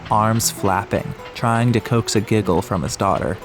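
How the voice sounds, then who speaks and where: A man's voice: on the low side, measured pace. A man narrating an atmospheric audiobook story from a script. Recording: music behind the voice.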